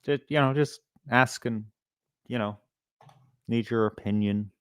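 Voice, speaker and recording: A man's voice: mid-range voice, a little slow, a bit bright, clean tone, no echo. A middle-aged man with an Irish accent hosting a casual live stream, swearing freely as he rambles and hesitates through his commentary. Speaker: livestream host.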